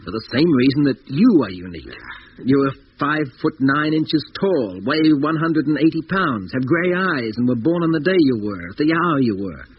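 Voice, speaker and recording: A sceptical, stubborn scientist in a 1950s space radio serial played by a male actor. His voice sits a little high, emphatic and dismissive. The old recording is dull with faint noise. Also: animated, normal speed, close to an everyday voice. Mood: insistent. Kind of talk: 1950s radio drama acting.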